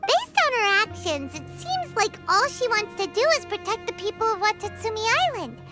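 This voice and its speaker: A woman voicing a tiny, chirpy, childlike fairy companion in a video game, with an excitable manner. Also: extremely high pitch, fairly quick. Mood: explaining, upbeat. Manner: speaks of self in third person, excitable. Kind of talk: video game character dialogue. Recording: loud music over the voice.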